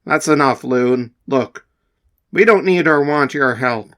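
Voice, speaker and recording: A man's voice: mid-range voice, takes it slowly, fairly bright tone. A man voicing an arrogant male superhero team leader who talks down to rivals. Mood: dismissive. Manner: condescending, dismissive.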